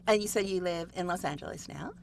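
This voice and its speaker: A woman host and voiceover coach running a casual interview, friendly, with quick affirmations and frequent laughs. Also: on the low side, creaky. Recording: audible background noise.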